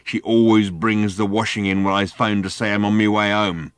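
A man's voice: on the low side, little pitch movement, normal speed, smooth, dry recording, studio quality, close to an everyday voice. A man voicing an aggrieved working-class lorry driver in an audiobook, ranting with emphatic, darkly insistent indignation. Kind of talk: audiobook character voice.

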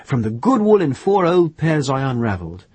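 A man's voice: medium pitch, very animated, normal speed, darkish tone, husky, dry recording, voice pushed up. A man voicing a kindly, bustling woman in a storybook, chatty and generous. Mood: proud, generous. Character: kindly, chatty woman, proud homely knitter.

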